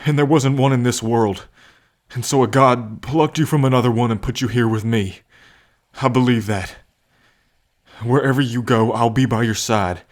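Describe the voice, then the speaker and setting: A man playing a gentle, devoted bull man with a violent past in an ASMR roleplay, speaking earnestly and tenderly with halting pauses. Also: mid-range voice, natural voice. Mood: tender devotion. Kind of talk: ASMR roleplay addressed to the listener.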